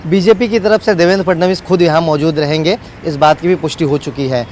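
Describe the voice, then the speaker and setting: High-pitched male reporter, faint street noise. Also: fairly even intonation, fairly quick, darkish tone. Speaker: field news reporter. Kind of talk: unscripted live news report.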